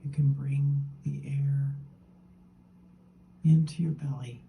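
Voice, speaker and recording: Slow, mellow woman guiding meditation. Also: rumbling low, fairly even intonation, gravelly, a little hiss or hum. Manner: gentle, unhurried, with long pauses between short phrases. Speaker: meditation teacher. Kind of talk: guided meditation.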